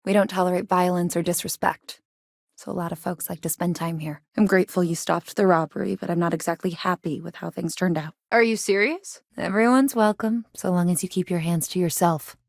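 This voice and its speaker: Game role: earnest, plain-spoken woman innkeeper in a rough sci-fi underground. Lowish voice, creaky edges, dry studio sound. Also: fairly quick, no echo. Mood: stern, upset. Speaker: video game character voice. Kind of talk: game dialogue lines, conversational.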